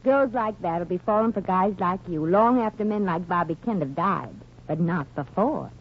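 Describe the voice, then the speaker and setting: An actress playing a streetwise, bossy working woman with dry, brisk wisecracks in a 1940s radio comedy. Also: fairly low pitch, husky. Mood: brisk, sardonic. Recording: dull, noisy old radio recording.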